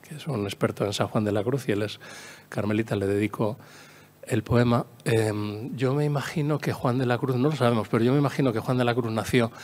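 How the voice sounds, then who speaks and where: A man's voice: medium pitch, steady tone, brisk, a little mellow. A man from La Mancha with a Castilian Spanish accent giving a discursive literary lecture, repeating phrases and adding storytelling asides and little tag questions. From La Mancha, Spain. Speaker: conference speaker, poet.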